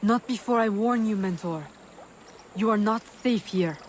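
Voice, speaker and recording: A woman's voice: mid-range voice, a little slow, slight background noise, natural voice. An actress voicing a determined, defiant young woman assassin in a video game, earnest and insistent. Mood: urgent, earnest. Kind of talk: dramatic video game voice acting.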